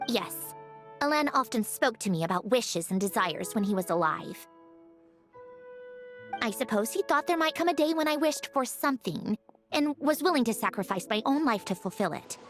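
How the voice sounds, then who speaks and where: A woman's voice: a little high, lively intonation, normal speed, faint music behind. A woman voicing a haughty, matter-of-fact young puppet genius in a video game, with a precise, lecturing, slightly impatient delivery. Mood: proud, wistful. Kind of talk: scripted video game voice acting.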